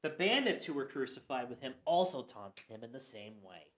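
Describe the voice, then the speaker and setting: A man's voice: medium pitch, very animated, normal speed. A man narrating scripture for a church radio play, reading earnestly and sometimes stumbling. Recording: echoey room.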